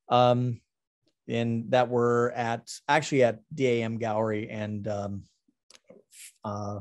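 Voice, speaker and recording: A middle-aged man talking casually about his artwork on a video call, halting with many ums and restarts. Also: lowish voice. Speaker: artist presenting his work. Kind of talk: casual talk over a slide presentation.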